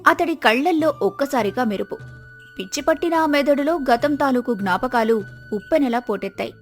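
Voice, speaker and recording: A woman's voice: high-pitched, expressive, moderate pace. A woman narrator telling a scripted moral story. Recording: music behind the voice.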